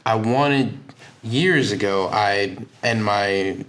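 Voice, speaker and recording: A man's voice: fairly low pitch, drawn-out words, fairly bright tone. A man hosting a podcast interview, talking in a chatty, enthusiastic way. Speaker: podcast host. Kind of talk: casual interview conversation.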